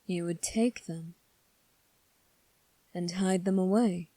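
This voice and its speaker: Woman as a slow, composed, low-voiced young prince. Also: dark tone, dry recording. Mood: slow, suggestive. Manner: formal, measured, deliberate phrasing with long pauses. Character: young fantasy prince, composed, formal, coolly defiant. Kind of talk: ASMR roleplay acting.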